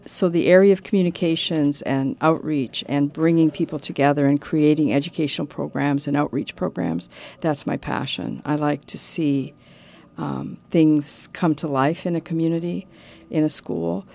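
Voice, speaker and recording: A woman's voice: deep voice, fairly even intonation, normal speed, gravelly, mellow. A woman from Canada, a guest in an interview, talking earnestly about her work. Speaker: guest, communications director. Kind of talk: casual interview.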